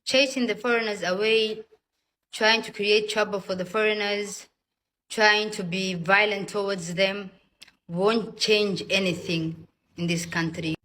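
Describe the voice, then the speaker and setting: A woman speaking directly to listeners in an earnest, pleading and emphatic appeal, choosing her words deliberately. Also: medium pitch, fairly even intonation, fairly bright tone. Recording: noisy, with background ambience.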